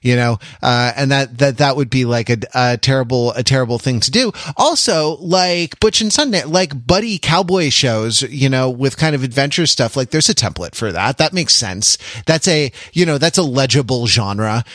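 Halting man, dry close sound. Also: medium pitch, expressive, fairly bright tone, no echo. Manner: halting, circles back over phrases. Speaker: podcast host. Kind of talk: casual pop culture discussion.

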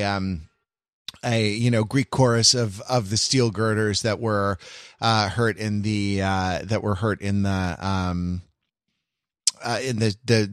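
A man hosting a casual panel podcast, talking in a halting, rambling way and often interrupting himself. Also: lowish voice, fairly bright tone, dry recording, clean studio sound. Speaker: podcast host.

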